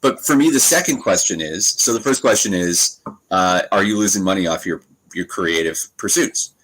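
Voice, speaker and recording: Low-voiced man in his thirties, halting delivery. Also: fairly bright tone, breathy edge, rough recording. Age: 30s. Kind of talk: casual reflective conversation.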